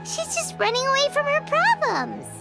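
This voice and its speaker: A woman voicing a small, excitable, childlike fairy companion in a video game, squeaky, perky and bluntly impatient. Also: normal speed, creaky, heavily acted. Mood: thoughtful. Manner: perky, exclamatory, speaks of self in third person. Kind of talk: video game character dialogue. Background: background music.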